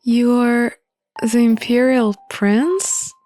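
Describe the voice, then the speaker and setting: A woman's voice: on the high side, drawn-out words, warm tone. A woman voicing an outspoken, questioning young female alchemist in a visual novel. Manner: questioning, direct. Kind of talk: visual novel character reading. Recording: music under the voice.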